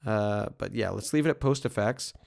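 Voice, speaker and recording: A man presenting a software tutorial as a music production trainer, explaining things in an informal way. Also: fairly low pitch, moderate pace, vocal fry.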